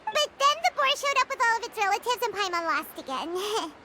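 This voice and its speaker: Through loud background noise comes a tiny, very high-pitched fairy girl. She is chatty and perky, a childlike game companion who swings emphatically up and down as she speaks. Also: sing-song, fairly quick. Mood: dramatic storytelling. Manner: perky, emphatic, refers to self by name. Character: small excitable childlike fairy companion, female, chatty, squeaky. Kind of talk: animated video game voice acting.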